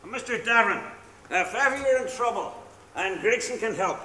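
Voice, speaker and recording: Male actor doing a preachy drunk on a stage, high and tense, miked from far in a hall. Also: animated, normal speed, sharp tone, slight background noise. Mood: blustering. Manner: boastful, preachy, repetitive. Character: drunken blustering middle-aged Orangeman husband. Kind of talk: stage play acting. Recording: echoey hall, far from mic.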